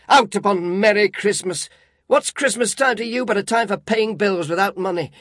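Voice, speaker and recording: A man's voice: high-pitched, no echo, studio quality, voice pushed up. Scrooge, a man doing a snapping old miser. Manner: snapping, indignant, loud outbursts. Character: miserly bitter old man, scornful and cold. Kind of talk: audiobook reading.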